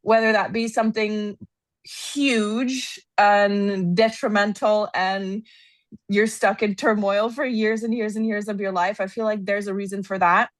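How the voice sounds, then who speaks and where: A woman in her thirties from Los Angeles with an American accent, chatting as an interview guest, animated and laughing often. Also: mid-range voice, a little slow, dry recording. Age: 30s. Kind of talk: casual interview chat.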